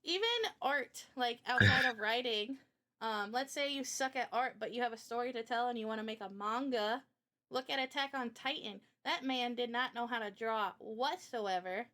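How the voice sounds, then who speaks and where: Bright high-voiced woman chatting on stream. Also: normal speed. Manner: animated, opinionated, rambling with asides. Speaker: streamer and host. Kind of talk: casual live stream chat.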